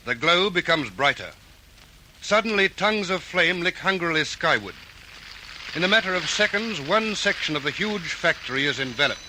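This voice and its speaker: A male narrator of an old radio crime serial, with a fairly high, very bright and forceful voice. He declaims each phrase with portentous drama over background music. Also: poor audio. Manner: dramatic, portentous announcer delivery. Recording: vintage radio broadcast with music underneath.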